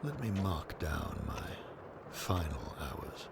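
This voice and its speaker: An actor playing a very old, lonely man who speaks in a halting, wistful and resigned way in an audio drama. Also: very deep voice, big pitch swings, vocal fry, very noisy, heavily acted. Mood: weary, reflective. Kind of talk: audio drama character acting.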